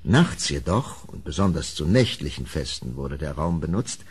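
A man's voice: very deep voice, sing-song, moderate pace, husky. A man narrating a horror audio play as dramatic scripted storytelling.

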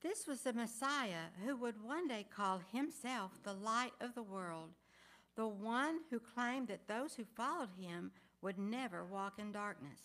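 A woman's voice: on the high side, a little slow, fairly bright tone. Woman solemnly reading church narration. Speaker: cantata narrator. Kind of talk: scripted scripture reading. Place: large church sanctuary.

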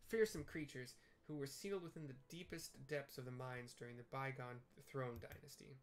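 A man's voice: mid-range voice, steady tone, moderate pace, close to an everyday voice. A man voicing a courtly old former royal guard of a tiny fantasy folk, speaking with formal, archaic courtesy in a video game. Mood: grave, explanatory.

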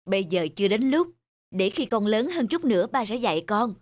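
A woman voicing a proud, studious father turtle in an animated fairy tale, with an instructive, self-important manner. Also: mid-range voice, fairly quick, no room sound.

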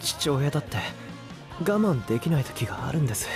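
A man's voice: fairly high pitch, lively intonation, very fast, over music. A man playing an alluring, frustrated single father in anime dialogue. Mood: yearning.

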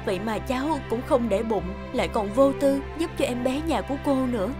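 A woman playing a sharp-tongued but caring young mother who minds a repair shop, in an expressive animated cartoon dub. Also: fairly high pitch, a little fast, lightly acted. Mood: apologetic. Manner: expressive, scolding or fussing. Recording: music behind the voice.

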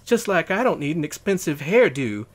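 A man's voice: a little high, very animated, moderate pace, pitched above the performer's own voice. A man voicing a feisty, bossy young heroine in a storybook. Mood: advising.

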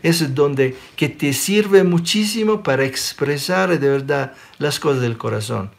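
A man in his forties from Sicily who speaks with an Italian accent, giving an animated spiritual talk in an online question-and-answer session and often checking in with listeners. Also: a little high, unhurried, creaky. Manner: animated, gestures a lot, checks in with listeners by asking "ok?". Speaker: spiritual teacher. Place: small room indoors. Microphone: webcam close up.

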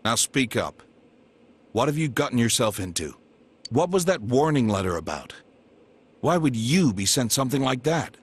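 A man's voice: medium pitch, sing-song, moderate pace, pauses often, husky. An actor playing a stern, protective middle-aged detective father in a video game, firm and blunt, with an American accent. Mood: angry, demanding. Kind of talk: English video game voice acting.

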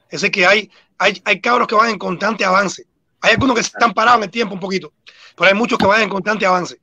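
A Cuban boxing coach with a Cuban accent, talking earnestly and repeating himself as a guest on a video-call panel. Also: male voice, high-pitched, sharp tone, no echo. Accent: Cuban Spanish. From Cuba.

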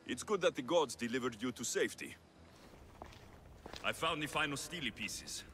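A man's voice: medium pitch, expressive, normal speed, fairly bright tone, slight background noise, close to an everyday voice. A man playing a practical ancient Greek mercenary hero in a video game cutscene, with a firm, direct, reassuring manner. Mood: matter-of-fact. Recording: long reverb, music behind.

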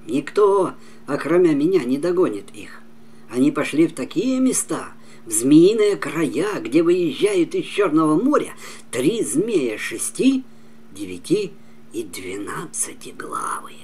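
A man's voice: fairly high pitch, sing-song, unhurried, a little mellow, exaggerated voice. An older man voicing a bold, boastful young folk-tale warrior in a declamatory way. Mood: confident. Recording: clearly noisy home recording.

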